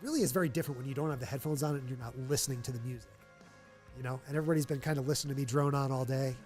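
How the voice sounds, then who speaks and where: A man's voice: medium pitch, very fast, darkish tone, soft background music. A man with a nasal voice hosting a live charity stream, chatting upbeat to fill time. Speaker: livestream host. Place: stadium event room.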